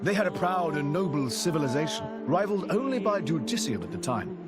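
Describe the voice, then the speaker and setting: An easygoing young man guiding others through his homeland in a video game. His voice is on the high side and moves around a lot, with proud warmth for his country and clear music behind. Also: big pitch swings, fairly quick. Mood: proud, explaining.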